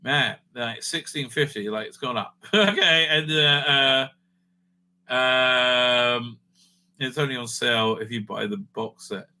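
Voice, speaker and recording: A man's voice: mid-range voice, slow, pushed and bright. A man giving a casual, rambling fan review as host, blunt, opinionated and sweary, often repeating phrases for emphasis.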